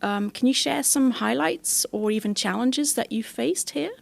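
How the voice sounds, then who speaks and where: A woman's voice: fairly high pitch, moderate pace, creaky, no echo. A woman hosting a faculty podcast, asking friendly interview questions with some fillers. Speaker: podcast host.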